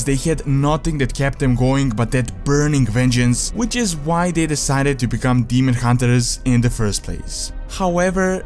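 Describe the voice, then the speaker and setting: A man's voice: mid-range voice, a little mellow. Man narrating over music. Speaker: video narrator. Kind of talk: scripted explainer narration. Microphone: close voice-over microphone. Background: background music.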